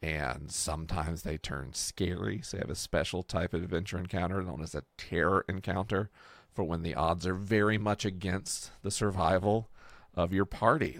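A man's voice: low-pitched, no echo. A man explaining a fictional world in a casual, enthusiastic discussion as a host and creator.

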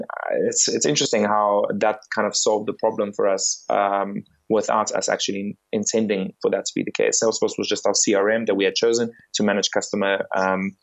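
A man with a low, gravelly voice speaks as a podcast guest. He explains things in a measured, steady way, stopping often for fillers and restarts. The audio sounds dull and lo-fi. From Bulgaria, raised in South Africa. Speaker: company founder and guest. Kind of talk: podcast interview.

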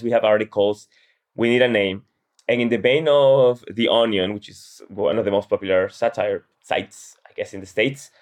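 A man's voice: medium pitch, darkish tone. A man from Peru talking casually as an interview guest. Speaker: guest, comedian and satire writer.

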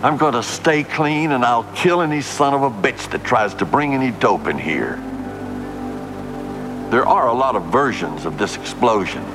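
A man with a deep voice speaking in an authoritative, declaiming manner on an archival recording. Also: animated, normal speed, over music. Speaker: group founder and leader.

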